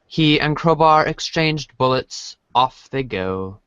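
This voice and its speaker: A man reading comic narration aloud for a podcast, halting and correcting himself as he goes. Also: mid-range voice, lively intonation, a bit bright, clear, resonant tone, dry recording, highs cut off. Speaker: reader in a webcomic reading podcast.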